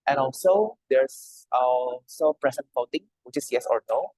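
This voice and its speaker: A man's voice: medium pitch, a little slow, a little mellow, no echo, rough recording. A man chairing a model UN training, explaining procedures casually over a video call with frequent "yeah" tags. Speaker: committee chair giving a training session. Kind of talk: casual online presentation.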